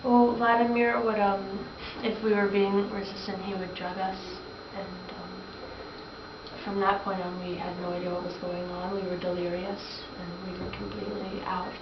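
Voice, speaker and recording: Halting young trafficking victim, acted by a woman, echoey and distant. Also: medium pitch, level tone, lightly acted. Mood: subdued, halting. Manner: halting, hesitant. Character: young female trafficking victim, subdued, haunted. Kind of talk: student-acted mock interview testimony. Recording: echoey, distant, noisy lo-fi room recording.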